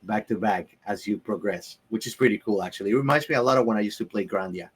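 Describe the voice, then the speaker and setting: A man with a fairly low voice co-hosts a casual podcast. He gives enthusiastic commentary and stresses his points by repeating words. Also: quick pace, husky. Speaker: podcast co-host.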